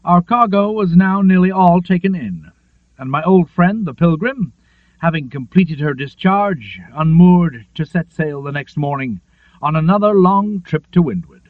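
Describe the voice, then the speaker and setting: A man narrates a literary memoir with lively, rising and falling intonation and a measured storyteller's manner. His voice sits fairly high for a male reader. The recording is very dry, with a narrow, phone-like tone. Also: no room sound. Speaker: audiobook narrator.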